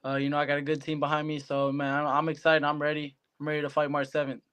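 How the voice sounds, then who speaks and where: A man's voice: on the high side, monotone, quick pace, fairly bright tone, clear, resonant tone. A young American man giving casual interview answers as a guest athlete, in colloquial, laid-back phrasing. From Hawaii, United States. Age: young adult. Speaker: professional boxer, guest.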